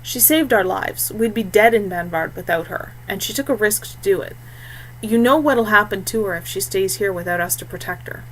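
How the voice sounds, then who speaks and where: A woman's voice: medium pitch, moderate pace, close to an everyday voice. Female narrator as young male heir, firm, blunt, protective, storybook fantasy, noisy humming recording. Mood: urgent.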